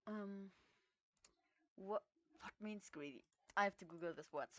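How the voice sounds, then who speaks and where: A woman's voice: mid-range voice, measured pace, bright, forceful tone, no echo. A young woman with a German accent chatting hesitantly between voice recordings, with many fillers. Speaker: amateur voice actor. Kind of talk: casual recording vlog.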